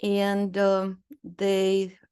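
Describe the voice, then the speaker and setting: A woman in her sixties, originally from Romania, speaks as an interview guest. She talks in a storytelling way, full of small fillers and brief laughs. Her pitch sits in a middle range for a woman. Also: completely flat delivery, drawn-out words, warm tone, smooth. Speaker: guest artist. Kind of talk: conversational interview.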